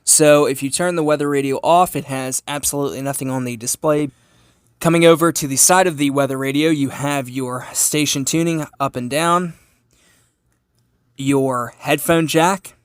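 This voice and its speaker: A man's voice: medium pitch, fairly even intonation, normal speed, smooth, dry recording. Man giving a casual, dry-sounding gadget demo. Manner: explanatory, asks and answers own questions. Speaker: hobbyist product reviewer. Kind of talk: casual unscripted gadget demonstration. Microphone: phone camera held while demonstrating.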